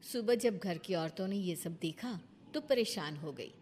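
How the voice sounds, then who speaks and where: A woman's voice: fairly low pitch, expressive, a little slow, a little mellow, slight background noise. A woman narrating a scripted bedtime moral story as its storyteller.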